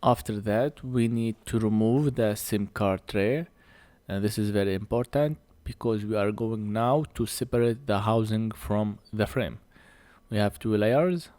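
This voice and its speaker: A man who speaks English as a second language gives a hands-on repair tutorial in halting short phrases, a little short of breath. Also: on the low side, warm tone, no room sound. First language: not English. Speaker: phone repair technician presenting a tutorial.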